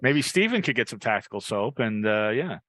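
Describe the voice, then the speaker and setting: A man's voice: fairly low pitch, sing-song, normal speed, fairly bright tone, no room sound. A man hosting a podcast with casual banter, musing aloud and tossing in joking asides. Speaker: podcast host.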